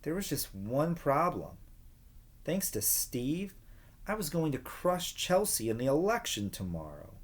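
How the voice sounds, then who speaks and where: A man narrates a children's chapter book in an expressive, swooping voice. He takes his time, pauses for drama, and steps out of the text for casual comments to his young audience. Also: on the high side. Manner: animated, dramatic pauses, questions to listeners. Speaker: read-aloud storyteller for children.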